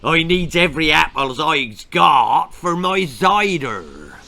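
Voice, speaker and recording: A man voicing a gruff, menacing farmer with a deep voice and an English rural dialect, in a children's horror-comedy storybook. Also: very animated, slow pace, bright, forceful tone, exaggerated voice.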